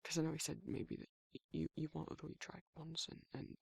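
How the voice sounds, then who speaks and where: A British man playing a protective but awkward male werewolf in an intimate romantic ASMR roleplay, speaking in a halting, flustered, husky voice. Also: fairly high pitch, completely flat delivery, no room sound, studio quality, heavily acted. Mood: nervous, bashful. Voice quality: gravelly, husky, breathy. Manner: flustered, halting, stammering, trailing off.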